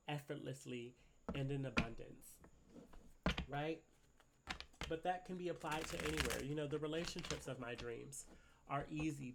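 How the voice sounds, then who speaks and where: A man with a stuffy, congested voice talks through a tarot reading, rambling and tagging "right?" onto his thoughts. His tone sits a little high with a gravelly edge. A faint hiss runs under the recording. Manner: rambling, filler-heavy, keeps asking "right?". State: congested from a cold. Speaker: tarot reader. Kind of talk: casual spiritual reading to camera. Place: at a desk indoors.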